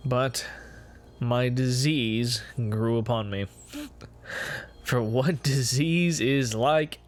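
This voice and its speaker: Man's deliberate, dramatic gothic reading over music. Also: on the low side. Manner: measured, deliberate phrasing with dramatic pauses. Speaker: amateur reader of a classic horror story. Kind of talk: dramatic reading of gothic first-person fiction. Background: music behind the voice.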